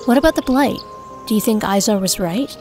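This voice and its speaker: A woman's voice: mid-range voice, brisk, music in the background, lightly acted. A woman playing a caring, practical elf mother, earnestly explaining, in a fantasy audio drama.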